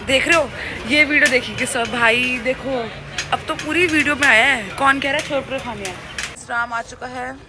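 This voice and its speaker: A woman's voice: sits high, normal speed. A woman, chatting casually and playfully to her vlog viewers on a phone. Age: 20s. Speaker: vlogger. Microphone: phone at arm's length. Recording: noisy handheld vlog with traffic and ambience.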